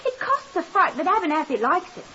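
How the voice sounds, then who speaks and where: A woman's voice: very high voice, big pitch swings, fairly quick, bright, forceful tone, full character voice. An actress playing a garrulous, deferential neighbour woman in a 1950s radio drama, rambling on eagerly. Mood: chatty, eager. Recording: hissy, muffled 1950s radio recording.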